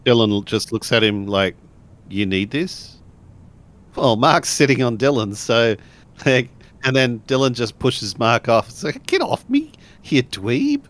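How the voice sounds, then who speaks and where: A man's voice: mid-range voice, very animated, unhurried, fairly bright tone. A man narrating and acting out his character in a tabletop role-playing game.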